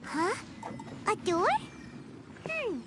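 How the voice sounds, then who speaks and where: A woman voicing a tiny, excitable, childlike fairy companion in a video game, with a squeaky, exclamatory delivery. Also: extremely slow, heavy background noise, full character voice. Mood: curious. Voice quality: squeaky, piercing. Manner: exclamatory, speaks of herself in third person. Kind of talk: video game character acting.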